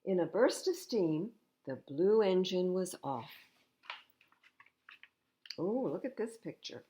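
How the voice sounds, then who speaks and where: An older woman reading children's stories aloud at storytime, expressive and unhurried, with little asides to young listeners. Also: on the low side. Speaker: library storytime reader.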